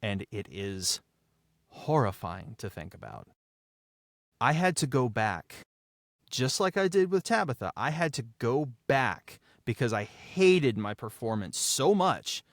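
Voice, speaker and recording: A man with a mid-pitched voice talks reflectively about creative work as a podcast guest. His delivery is emphatic and dramatic, with deliberate pauses for weight. The sound is dry and close. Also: sing-song, no echo. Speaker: podcast guest, audio drama writer, producer and actor. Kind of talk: reflective behind-the-scenes discussion.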